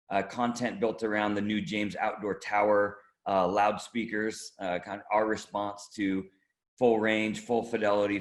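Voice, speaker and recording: A man with a fairly low voice answers interview questions about his company's work. He talks in a halting, conversational manner, feeling his way through explanations. The sound is quite roomy and echoing. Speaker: marketing director being interviewed. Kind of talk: product preview interview.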